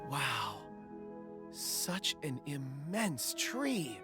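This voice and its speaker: An actor playing a thoughtful, courteous man in a video game, speaking in a measured, slightly formal, reflective way. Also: on the high side, sing-song, music in the background, exaggerated voice. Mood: curious. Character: thoughtful, courteous man who reads memories in rocks.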